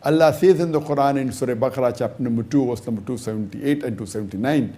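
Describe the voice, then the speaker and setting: A man's voice: medium pitch, fast talker, dark tone. A man, an Islamic scholar, answering an audience question in a lecture, reasoning step by step with emphatic stresses and rhetorical questions.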